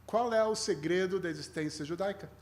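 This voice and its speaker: Guest rabbi, male, Brazilian accent with a mixed tinge, lightly raised pitch, conversational sermon with self-deprecating humour. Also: a little fast. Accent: Brazilian with a mixed foreign tinge. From Rio de Janeiro, Brazil.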